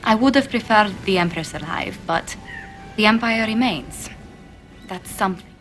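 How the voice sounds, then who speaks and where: An actress playing a polite, solicitous diplomat in a fantasy game, speaking formally and with composure. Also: female voice, medium pitch, normal speed, a bit bright, soft background music, close to an everyday voice. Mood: concerned. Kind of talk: fantasy video game acting.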